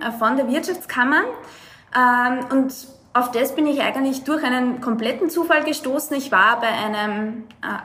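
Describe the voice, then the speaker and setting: A young woman from Lower Austria with an Austrian accent, telling her story as a podcast guest over a video call, with many hesitations. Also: sharp tone. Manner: hesitant, many filler sounds. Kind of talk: conversational storytelling.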